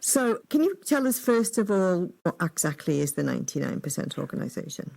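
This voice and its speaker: A woman radio presenter asking brisk, prompting questions of a guest. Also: lowish voice, big pitch swings, gravelly, a little mellow. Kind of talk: radio interview.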